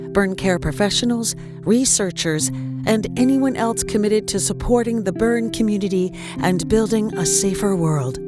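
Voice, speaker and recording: A woman reading a nonprofit announcement in an even, informative way. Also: medium pitch. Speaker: sponsor announcer. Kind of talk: scripted announcement.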